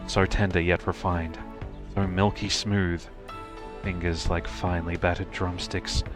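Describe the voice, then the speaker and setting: A man narrating a comic game as a streamer, reading scripted lines in a theatrical, playful way. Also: deep voice.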